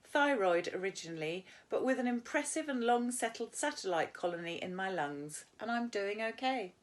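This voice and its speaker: A woman's voice: mid-range voice, expressive, moderate pace. A British woman, an English teacher, reading a novel aloud and explaining it informally as she goes.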